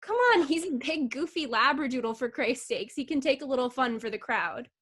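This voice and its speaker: A woman's voice: high voice, brisk, fairly bright tone, husky. A woman playing a brash, mocking circus juggler in a staged play reading, with slangy teasing talk. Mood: needling. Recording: video call.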